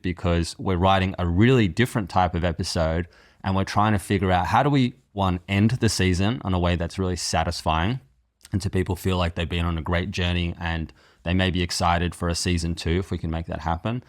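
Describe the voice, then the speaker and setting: A man's voice: deep voice, a little fast, no room sound, studio quality. A man speaking earnestly as a co-writer and script producer in a reflective podcast conversation, often framing points as questions. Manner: earnest, explains by posing rhetorical questions.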